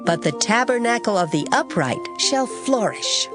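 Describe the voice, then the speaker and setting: A woman giving a solemn, measured dramatized reading of scripture. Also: medium pitch, big pitch swings. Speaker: scripture reader. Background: music.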